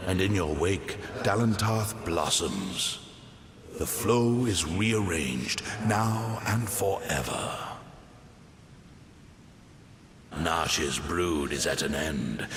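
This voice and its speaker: An actor playing an ancient, immortal tree spirit in a fantasy game, solemn, stately and oracular. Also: male voice, full character voice. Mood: solemn, approving. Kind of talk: fantasy video game dialogue. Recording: long echoing reverb, faint rumble.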